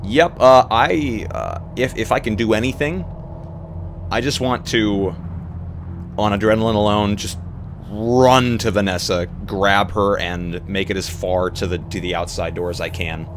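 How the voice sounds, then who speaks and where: A man's voice: fairly low pitch, measured pace. A man chatting casually as a player in a tabletop roleplaying game, hesitantly working out his actions aloud. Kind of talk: casual reactive game chat. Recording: faintly noisy live stream.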